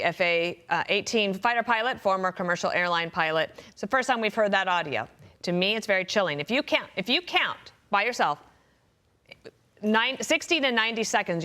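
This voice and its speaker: A woman's voice: fairly low pitch, fairly bright tone. An American woman anchoring live news, introducing items and putting questions to a guest. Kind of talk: live news presenting and interviewing.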